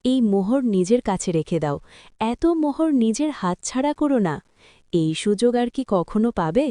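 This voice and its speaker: A woman voicing a gentle, coaxing magical fairy in a fairy-tale cartoon, with formal storybook phrasing. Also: medium pitch, very animated, moderate pace, clean tone, no room sound, clean studio sound, lightly acted. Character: kindly magical cave fairy, female, testing with treasure.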